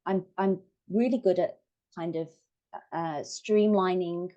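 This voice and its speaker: A woman, low in pitch, talks as a podcast guest. She chats casually, saying yeah a lot and restarting her sentences. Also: fairly even intonation, takes it slowly, dark tone, dull audio. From Manchester, England. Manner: chatty, many yeahs and self-corrections. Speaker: guest, family wellbeing coach. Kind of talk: casual interview chat.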